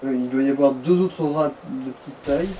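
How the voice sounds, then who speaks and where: A man's voice: mid-range voice, fairly quick, warm tone, far from the mic, slight background noise, low-quality recording. A man giving casual, explanatory advice on a video game. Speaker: co-commentator advising the player. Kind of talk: casual gaming commentary.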